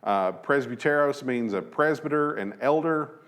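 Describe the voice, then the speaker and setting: A man in his thirties teaching a Bible lesson from a church lectern, measured and thoughtful, with frequent fillers and restarts. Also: medium pitch, a bit bright. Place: church auditorium at a lectern, slides behind.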